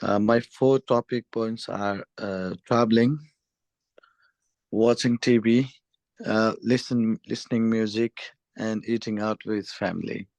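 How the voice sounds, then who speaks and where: Man from Nepal, hesitant, halting, low-pitched, very dry close sound. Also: steady tone, gravelly, darkish tone, no room sound. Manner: hesitant, many fillers and restarts. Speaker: test candidate. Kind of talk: mock speaking exam answers.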